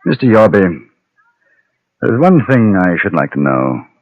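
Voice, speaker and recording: An actor playing a composed, steely gentleman gunfighter with polite, formal diction in an old-time radio Western. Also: low-pitched, big pitch swings, unhurried, muffled recording, exaggerated voice. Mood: calm concern. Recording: noisy vintage radio recording.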